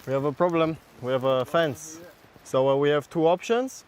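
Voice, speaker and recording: A man's voice: on the high side, normal speed, darkish tone, a little hiss or hum. A man on the track crew, thinking aloud hesitantly about a problem. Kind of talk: casual talk to camera.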